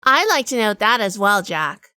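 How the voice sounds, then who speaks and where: Woman playing a bright, sardonic gangster-drama wife. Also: medium pitch, big pitch swings, normal speed, clear, resonant tone, no room sound, studio quality. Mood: knowing. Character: quick-witted, sharp-tongued wife among gangsters, also narrating. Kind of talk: hardboiled 1940s gangster audio drama acting.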